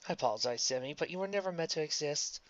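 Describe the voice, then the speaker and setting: An amateur reader voicing a calm, gentle man delivering bad news. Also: mid-range voice, fast, no room sound, close to an everyday voice. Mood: solemn. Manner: gentle, solemn. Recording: dull lo-fi dry recording.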